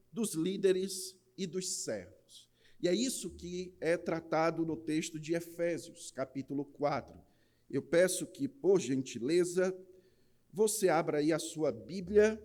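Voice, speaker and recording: A man with a Northeastern Brazilian accent preaching in church, opening with a jokey rhymed verse before an earnest sermon. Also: a little high. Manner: jokey rhyming recitation, then steady earnest preaching. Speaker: pastor. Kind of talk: sermon with a humorous rhymed recitation.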